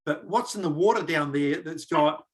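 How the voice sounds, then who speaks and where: A middle-aged New Zealand man hosts a relaxed podcast, talking in a loose, rambling way with easy self-deprecating jokes. His voice sits a bit higher than most men's. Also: normal speed. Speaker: podcast host. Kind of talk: casual podcast chat.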